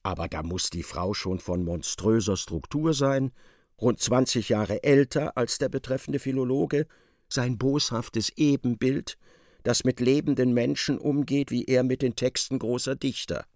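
A man giving expressive, articulate literary narration for a radio play, close on a studio microphone. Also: medium pitch, unhurried, dry recording.

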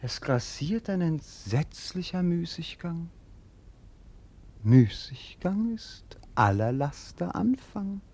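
A bored, theatrically swooping young prince. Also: male voice, fairly high pitch, sing-song, takes it slowly, darkish tone, exaggerated voice. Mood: world-weary, brooding. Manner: theatrical, mocking, world-weary. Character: bored melancholic young prince, whimsical and ironic. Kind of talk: classic German radio play acting.

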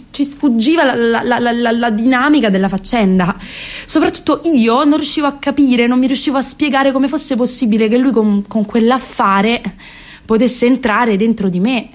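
Casting: actress as self-mocking, exasperated young woman near thirty, highish voice, ironic halting confessional for comic radio theatre. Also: natural voice. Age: young adult.

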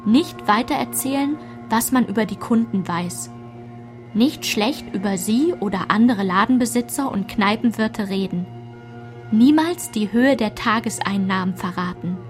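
A woman's voice: mid-range voice, animated, measured pace, close to an everyday voice. A woman reading scripted literary narration as the narrator of a radio play. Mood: measured. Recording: music behind the voice.

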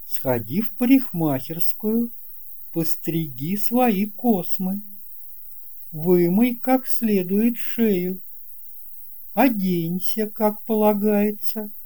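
A kindly, practical mother from a storybook, played by a woman reader. Her voice sits fairly high. She gives instructions in a matter-of-fact way. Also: extremely slow, warm tone. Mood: instructing. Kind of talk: audiobook character voice.

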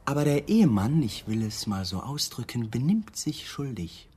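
A man's voice: medium pitch, very animated, moderate pace, warm tone, slightly rough. An actor playing an insistent police lieutenant in a radio crime drama, polite but needling and insinuating with his questions. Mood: probing, insinuating.

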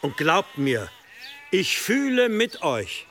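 High, forceful preaching monk. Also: male voice, wildly swooping pitch, slow, full character voice. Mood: earnest appeal. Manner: solemn, persuasive, declamatory. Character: earnest missionary monk preaching to hostile villagers. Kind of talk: radio drama, public oratory. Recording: faint outdoor ambience with sheep.

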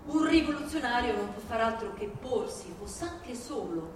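A woman reads aloud in a theatrical, declamatory way with deliberate phrasing. Her voice sits fairly high. It comes from a distance in a very echoey space, with clear noise on the recording. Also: lively intonation. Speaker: stage reader. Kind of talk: theatrical dramatic reading with music concert.

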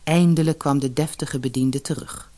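A woman reading a scripted children's fairy tale as an expressive, measured narrator. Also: deep voice. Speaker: storyteller, narrator.

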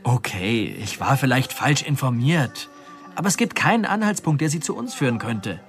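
A man's voice: medium pitch, sing-song, moderate pace, natural voice. An actor playing a brash young male con man in a radio play, talking in a swaggering, dismissive way. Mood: cocky.

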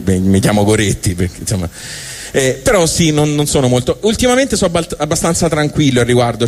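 A male stand-up monologist with a Tuscan accent and a medium-pitched voice. He tells comic stories in everyday language, stopping, restarting and wandering off as he goes. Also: a little mellow. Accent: Tuscan Italian. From Tuscany, Italy. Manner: rambling, halting, colloquial, self-interrupting. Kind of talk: live comic storytelling monologue.

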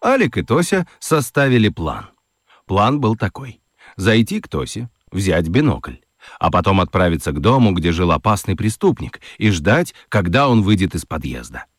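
Мужчина-рассказчик детского радиоспектакля читает выразительно, с драматическими паузами. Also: male voice, sits low, sing-song, no room sound, clean studio sound. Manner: deliberate, dramatic pauses. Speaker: narrator. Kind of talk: expressive scripted storytelling for children's radio play.

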